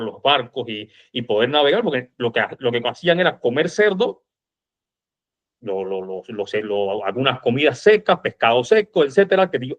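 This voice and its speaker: A man with a Cuban accent talking about history in an informal, emphatic and colloquial way, on a slightly dull recording. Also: medium pitch. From Cuba. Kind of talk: animated informal history discussion.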